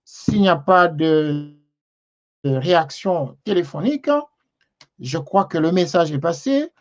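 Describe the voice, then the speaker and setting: A man's voice: on the high side, animated, unhurried, dull audio. A man hosting a television talk show, reading out and answering viewers' messages with many hesitations. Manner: hesitant, many fillers and restarts.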